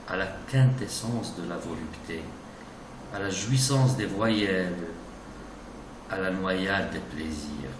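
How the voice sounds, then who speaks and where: A man giving a dramatic, declamatory recitation of romantic poetry in deliberate phrases. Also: low-pitched. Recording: distant, echoey, noisy recording.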